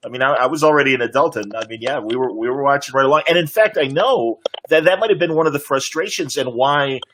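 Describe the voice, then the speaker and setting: A man hosts a panel in a casual, chatty style, speaking like an enthusiastic fan. His pitch is middling, and the recording has faint noise with some clicks. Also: quick pace, a bit bright. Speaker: panel moderator, podcast host. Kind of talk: casual interview chat.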